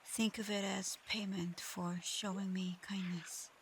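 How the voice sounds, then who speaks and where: Woman acting a guarded, slow-spoken dragon girl. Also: mid-range voice, little pitch movement, a little hiss or hum, close to an everyday voice. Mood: softened, earnest. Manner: halting, stammering when flustered, otherwise measured and formal. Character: guarded, flustered, proud dragon girl in human form. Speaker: audio roleplay performer. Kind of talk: ASMR roleplay, speaking to the listener.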